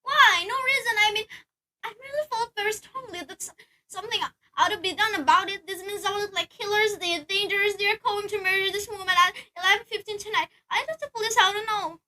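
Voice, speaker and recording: A young woman playing a shrill, demanding, anxious wife. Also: a little fast, bright, forceful tone, heavily acted, poor audio. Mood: flustered, nervous. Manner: insistent, indignant, flustered. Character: anxious, demanding, easily exasperated married woman. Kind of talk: amateur radio play acting.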